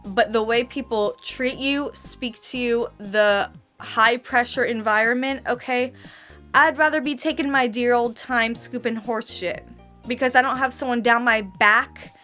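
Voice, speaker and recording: A young woman of twenty-one talks fast and exasperated in a casual rant, her voice sitting a little high. A slight lisp softens her s sounds. Faint music plays underneath. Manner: animated, exasperated, slangy, peppered with "okay?". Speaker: vlogger and barista trainer. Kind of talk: casual ranting vlog.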